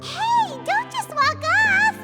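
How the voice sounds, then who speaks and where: A woman voicing a tiny, cheerful, childlike magical companion with a squeaky voice in a video game. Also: lively intonation, takes it slowly, over music, exaggerated voice. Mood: alarmed. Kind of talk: video game dialogue acting.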